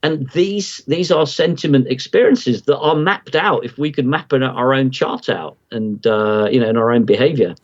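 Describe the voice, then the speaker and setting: A man's voice: mid-range voice, moderate pace, few pauses, muffled recording. A middle-aged man, a guest on a podcast, explaining his ideas in a reflective, rambling way with many restarts. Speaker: guest market analyst.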